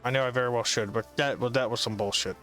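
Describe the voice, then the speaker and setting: Casting: male gamer, mid-pitched, casual and sardonic running commentary, light music behind. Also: steady tone, fast talker, fairly bright tone.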